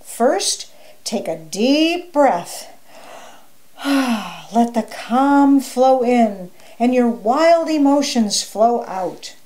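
Lady playing a calm animal yoga guy in a kids story, slow and mellow. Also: a little high, exaggerated voice. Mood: soothing. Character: calm male animal friend who teaches yoga.

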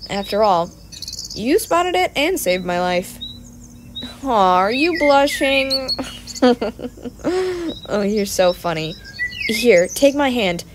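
A woman playing a playful, excitable young male adventurer in an audio roleplay, chatty and expressive, affectionate with a partner. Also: a little high, slow, long pauses, background noise. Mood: relieved, fond. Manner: breezy, giggly, expressive, chatty.

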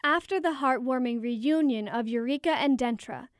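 An actress playing a proper, prim goddess narrating an audio drama in a measured way. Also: female voice, high-pitched, no echo, studio quality, natural voice. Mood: composed. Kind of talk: scripted storytelling.

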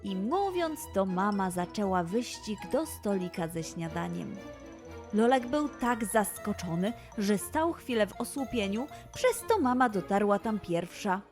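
A woman's voice: medium pitch, expressive, a little slow, darkish tone, soft background music. A woman narrating a scripted children's story expressively as the host.

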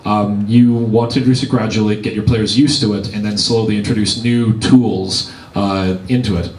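A man's voice: fairly low pitch, steady tone, moderate pace, a little mellow. A man with a Canadian accent giving earnest step-by-step advice as a game master on a panel. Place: large convention room. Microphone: handheld mic, heard from across the room. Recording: echoey room from far off.

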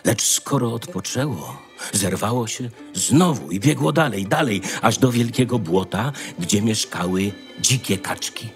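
Low-voiced, expressive male storyteller. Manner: dramatic storytelling. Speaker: narrator. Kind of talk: scripted fairy-tale narration. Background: faint music.

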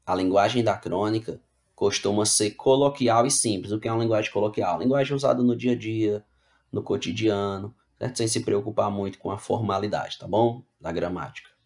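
A man's voice: lowish voice, fairly quick, a little mellow. A male teacher giving an online class, reading slide text aloud and then rephrasing it in plain words, often checking in with the students. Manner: explains casually, checks in with "né?" and "tá bom?".

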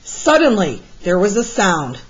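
A woman reading a picture book aloud to children in an expressive, deliberate storytelling style, like a teacher. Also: mid-range voice, husky. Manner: deliberate, with animated emphasis for young listeners. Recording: slightly muffled, lo-fi sound.

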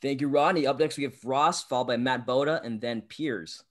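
A man hosting a video call, briskly calling on each speaker in turn. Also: mid-range voice. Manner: brisk, keeps things moving. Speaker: host.

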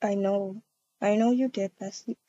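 A woman's voice: mid-range voice, fairly even intonation, normal speed, dark tone, dry recording, dull audio. A woman speaking casually as she improvises a game roleplay and chats to viewers. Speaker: video creator acting out a roleplay.

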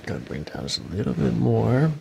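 Very gravelly man giving soothing treatment instructions. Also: on the low side, sing-song, a little fast, background noise. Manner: soothing, reassuring instructions in short phrases. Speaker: chiropractor. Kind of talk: calm hands-on ASMR treatment talk, instructing a patient. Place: small carpeted treatment office, indoors. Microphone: microphones on boom stands near the table.

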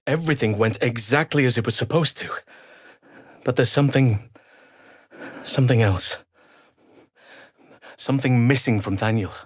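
An actor playing a calm, wise elf druid in a video game, speaking in a measured, earnest and formal way. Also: male voice, mid-range voice, husky, no echo, studio quality, close to an everyday voice. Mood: earnest, firm. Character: calm, wise, burly elf druid man with an animal side. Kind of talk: video game character voice lines.

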